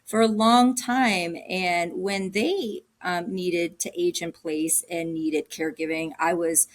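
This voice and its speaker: A woman's voice: on the low side, fairly even intonation, slow pace. Middle-aged woman guest from Cambodia, earnest, speaks off the cuff, lots of ums. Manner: warm, earnest, thinking aloud with many ums and restarts. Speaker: guest, agency director. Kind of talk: casual interview answers.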